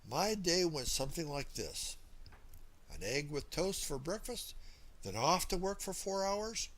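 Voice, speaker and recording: A man's voice: fairly high pitch, very animated, measured pace, fairly bright tone. A man narrating a first-person memoir as an audiobook reader, telling the story from a script.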